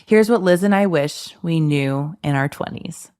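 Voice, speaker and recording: Podcast host, woman, thirties, low-pitched and encouraging, dry close mic. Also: a little slow, a little mellow, clear, resonant tone, no room sound. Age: 30s. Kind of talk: casual chat.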